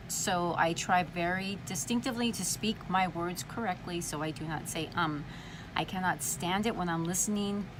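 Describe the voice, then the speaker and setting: Chatty Californian woman with a slight lisp. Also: fairly low pitch. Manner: chatty, dramatic, swears freely. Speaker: vlogger. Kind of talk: casual talk to camera. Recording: noisy background.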